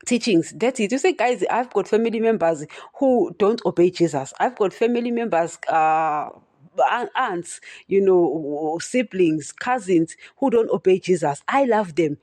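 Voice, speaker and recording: A woman preaches straight to her podcast listeners, stuttering at times and asking again and again whether they understand. Her voice is mellow and mid-pitched, and the recording sounds dry and close. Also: expressive, a little slow, dry recording. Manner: direct, repeats "you understand?", addresses listeners as guys. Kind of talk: solo preaching podcast talk.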